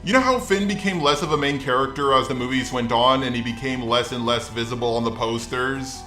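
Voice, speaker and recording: A man's voice: mid-range voice, fairly quick, sharp tone, reverberant, faint music behind. A man's synthetic robot voice delivering scripted, opinionated video commentary in a sarcastic, sweary manner. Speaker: video essay host.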